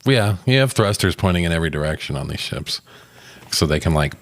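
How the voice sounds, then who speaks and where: A man's voice: low voice, animated, fairly quick, dry recording. A man co-hosting a podcast, talking in casual, conversational banter. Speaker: podcast co-host.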